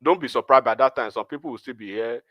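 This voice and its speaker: A man's voice: mid-range voice, fast talker, a loud crowd. A Nigerian man with a Yoruba accent, hosting a casual political discussion and talking in long, rambling runs full of restarts. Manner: rambling, many restarts and fillers.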